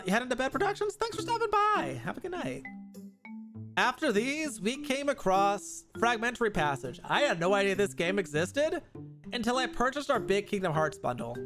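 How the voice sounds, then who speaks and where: A man with a high-pitched, very lively voice hosts a casual gaming stream. He talks in a chatty, rambling way, often correcting himself as he goes. Music plays clearly behind his voice. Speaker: livestream host. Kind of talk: casual gaming commentary, reviewing and ranking games. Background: background music.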